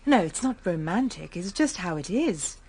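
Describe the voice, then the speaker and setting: A woman's voice: fairly low pitch, big pitch swings, moderate pace, darkish tone, husky, exaggerated voice. A woman playing an enigmatic, matter-of-fact young upper-class woman in a radio drama. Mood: calm, detached. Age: young adult. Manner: composed, detached, matter-of-fact.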